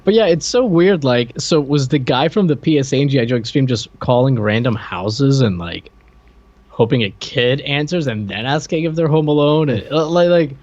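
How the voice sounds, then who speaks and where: A man's voice: medium pitch, lively intonation, moderate pace, a little mellow. A man co-hosting a show, talking casually and conversationally about cartoons, on dull lo-fi audio. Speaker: co-host.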